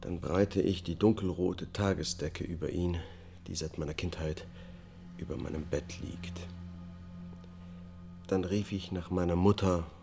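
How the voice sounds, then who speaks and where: An actor playing a haunted, detached murder defendant confessing in a radio drama. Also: male voice, deep voice, a little mellow. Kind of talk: German public radio drama acting, confessional testimony.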